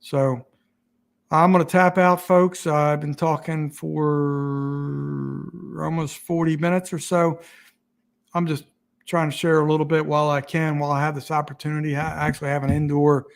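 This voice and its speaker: A man hosting a casual livestream, rambling and halting with many restarts, his voice getting raspy. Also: fairly high pitch, little pitch movement. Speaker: YouTube channel host.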